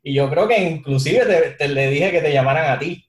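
A man co-hosting a podcast, telling a story in a relaxed, encouraging way with casual slang. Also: a little high, normal speed. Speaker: podcast co-host, IT instructor. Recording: roomy echo.

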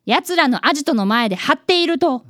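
A woman as an earnest, high-pitched schoolboy detective. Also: animated, brisk, fairly bright tone. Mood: earnest narrating. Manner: polite, earnest, dramatic. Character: earnest excitable eleven-year-old boy apprentice detective. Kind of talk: radio drama narration and dialogue.

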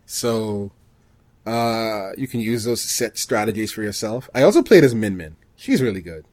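A man co-hosting a podcast, chatting casually about gaming in a halting way, often rephrasing himself mid-thought. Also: lowish voice, expressive, darkish tone, no room sound. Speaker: podcast co-host.

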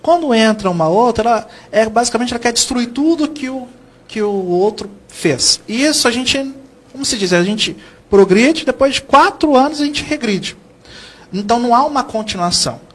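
High-pitched Brazilian man explaining earnestly. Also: lively intonation, moderate pace. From São Paulo state, Brazil. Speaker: educator, interview guest. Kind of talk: conversational TV interview answers.

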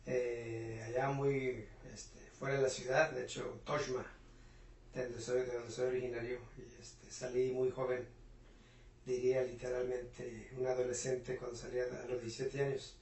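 A man's voice: mid-range voice, flat delivery, normal speed, a little mellow. A man with a Mexican accent, a guest in an interview at home, talking earnestly and hesitantly with many fillers about motivation. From Puebla, Mexico. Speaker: interview guest, business owner. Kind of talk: reflective motivational talk. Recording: roomy echo, voice from a distance.

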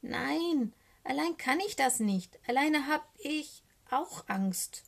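A woman voicing a small cheeky young male raven in a children's storybook, croaky and excitable, with a wheedling, anxious way of talking. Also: a little high, normal speed, voice pushed up, heavily acted. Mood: desperate. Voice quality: croaky, squawky.

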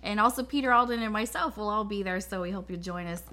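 A woman's voice: mid-range voice, fast talker, bright, forceful tone. A woman co-hosting a podcast, delivering promotional announcements.

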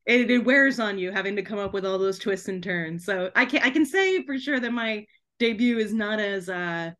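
A woman with a medium-pitched voice chats as a guest in a casual interview. She is animated and talkative, breaking off and restarting her thoughts as she goes. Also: moderate pace. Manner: chatty and animated, self-interrupting, often giggling while talking. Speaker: podcast guest, debut author.

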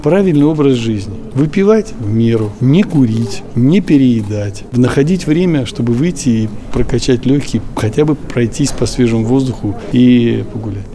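A man's voice: medium pitch, lively intonation, normal speed, hardly any pauses, dark tone, a little hiss or hum. A man speaking as an interviewed doctor, explaining and instructing in informal spoken language, in a muffled recording.

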